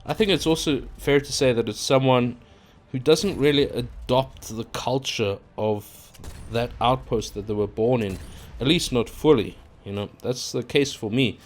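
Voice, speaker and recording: A man's voice: medium pitch, a little slow. A man from Africa, talking casually into his own microphone in an unscripted, rambling monologue full of fillers and restarts. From South Africa. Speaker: YouTuber. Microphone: own microphone at a computer.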